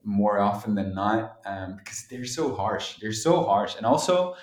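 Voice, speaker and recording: Low-voiced Belgian man, echoey room. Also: lively intonation, unhurried. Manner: hesitant, many fillers and restarts. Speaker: musician guest. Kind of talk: casual interview chat. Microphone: phone call.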